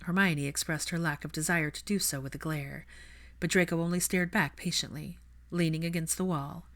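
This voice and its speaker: A woman's voice: low-pitched, fairly even intonation, moderate pace, a bit bright. A woman reading fan fiction aloud as a scripted story narration.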